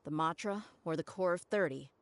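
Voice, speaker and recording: Low-voiced, blunt mercenary woman. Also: fairly quick, dry recording. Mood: irritated. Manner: blunt, casual. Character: tough, blunt young female desert mercenary. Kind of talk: video game voice acting. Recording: game music behind the voice.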